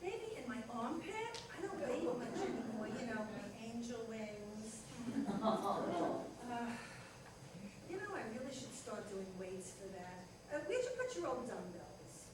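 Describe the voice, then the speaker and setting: A woman playing a whimsical, defensive wife turning seventy in a live reading of a comic play, hesitant and animated as she muses. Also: a little high, a little slow. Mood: musing. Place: live reader's theater performance in a reverberant room. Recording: distant, very echoey, noisy live hall recording.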